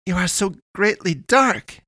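An uncanny young girl from another world, acted out by a male narrator, speaks in a raised, accented voice. She is calm and oddly formal, picking archaic, stilted words as an audiobook character. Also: high-pitched, a little slow, dry recording, clean studio sound, voice pushed up, exaggerated voice. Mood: curious, amused. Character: otherworldly young girl pastoress, calm, odd, gun-toting.

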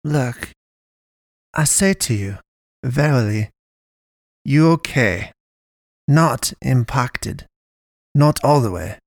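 A woman's voice: rumbling low, expressive, darkish tone, no room sound, clean studio sound, heavily acted. A man playing a cold woman in broken English. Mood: commanding. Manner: cold, commanding, halting broken phrasing.

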